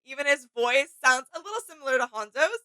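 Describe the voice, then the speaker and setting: A woman's voice: high-pitched, animated, quick pace, pushed and bright. A woman co-hosting a reaction video, chatting casually in an agreeable, enthusiastic way. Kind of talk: casual chat.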